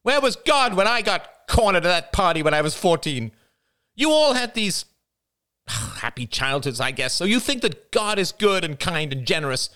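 A man's voice: on the high side, very animated, normal speed, a bit bright, pitched above the performer's own voice. A man voicing a bitter, defiant young woman with a nasal voice that rises to a shriek.

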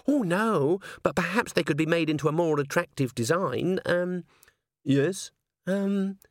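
A man's voice: a little high, a little slow, no room sound, clean studio sound, pitched above the performer's own voice, lightly acted. A man voicing a shy, nervous young female dwarf constable who is awkwardly polite and stammers hesitantly in a storybook reading. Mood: uneasy. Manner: hesitant, stammering, fumbling over words.

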